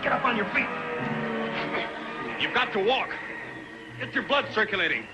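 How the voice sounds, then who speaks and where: A man's voice: sits high, animated, moderate pace. An actor playing a commanding man in an old television adventure, urgently barking short orders. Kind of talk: 1950s television western acting. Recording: old TV soundtrack, loud music.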